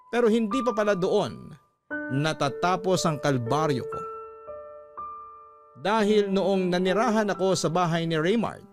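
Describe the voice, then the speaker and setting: A man reading a scripted first-person story as the narrator of a radio drama. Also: fairly high pitch.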